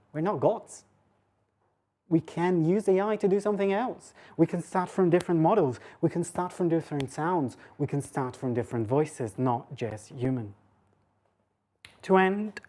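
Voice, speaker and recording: A woman's voice: low voice, darkish tone. A woman giving an academic talk, rambling and self-deprecating as she thinks aloud. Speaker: lecturer and speaker. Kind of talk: academic talk with audience questions.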